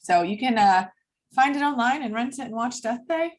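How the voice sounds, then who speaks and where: A woman's voice: a little high, expressive, normal speed. A woman hosting casual group introductions on a call, enthusiastic and encouraging. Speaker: host of a cast table read.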